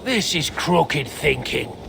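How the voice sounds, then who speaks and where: Cranky, gravelly dwarf smith. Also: male voice, a little high, big pitch swings, slow, background noise, exaggerated voice. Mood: defiant, dismissive. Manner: blunt, cranky, slangy. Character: grumpy, grieving dwarf smith, foul-mouthed and stubborn. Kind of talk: video game cutscene acting.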